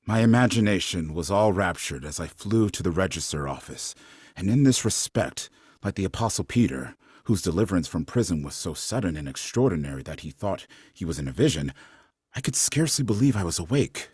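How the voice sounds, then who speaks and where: An actor playing an enraptured freed man telling his story in a fervent, declamatory stage reading, with a husky voice. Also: sits low, lively intonation, brisk, darkish tone, no room sound, clean studio sound. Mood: elated wonder. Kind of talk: theatrical dramatic reading.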